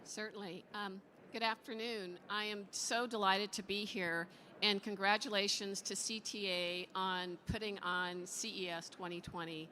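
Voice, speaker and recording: Woman, founder-investor panelist, upbeat, bright tone, reverberant large hall. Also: mid-range voice, steady tone, unhurried, slight background noise. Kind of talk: panel discussion. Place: conference stage in a large hall. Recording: long hall reverb, faint ambience.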